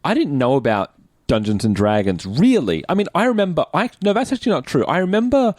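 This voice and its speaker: A man's voice: on the high side, fast talker, no echo, clean studio sound. A young adult man with an Australian accent chatting casually as a podcast host, rambling and correcting himself as he goes. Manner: animated, rambling, self-correcting.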